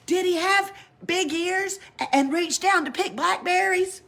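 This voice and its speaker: A woman's voice: very high-pitched, measured pace, creaky, fairly bright tone, pitched above the performer's own voice, heavily acted. A woman voicing a cheeky, exuberant young girl who tells tall tales in a children's storybook, gleeful, emphatic and often giggly. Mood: teasing, mischievous. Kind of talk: children's storybook read aloud.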